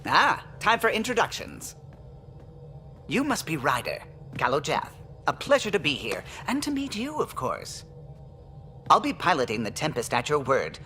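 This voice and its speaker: An alien starship pilot for a video game. The delivery is lively and forward, with a bright edge. He is courteous, keen and exact, over a light music bed. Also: a little high, normal speed, pauses often, exaggerated voice. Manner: crisp, polite, precise. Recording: music behind the voice.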